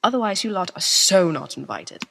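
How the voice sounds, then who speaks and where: A woman's voice: lowish voice, very animated, moderate pace, gravelly, fairly bright tone, full character voice. A woman voicing a flamboyant, attention-seeking seventeen-year-old boy with melodramatic, teasing delivery in an amateur audio drama. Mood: teasing, demanding. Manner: melodramatic, playful whining.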